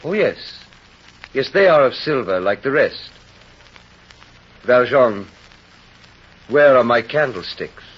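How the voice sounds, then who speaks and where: An actor playing a gentle, solemn old bishop in a vintage radio drama, with a husky voice and measured delivery. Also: male voice, mid-range voice, lively intonation. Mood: kindly, calm. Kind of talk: 1930s radio drama acting. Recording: muffled old radio broadcast recording.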